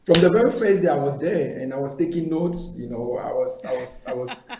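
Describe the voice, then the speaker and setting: A man in his thirties, a guest answering interview questions over a video call, halting with many repeated words and fillers. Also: medium pitch, a little mellow, slight background noise. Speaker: guest, company founder. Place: indoor room, video call. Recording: echoey room on a video call.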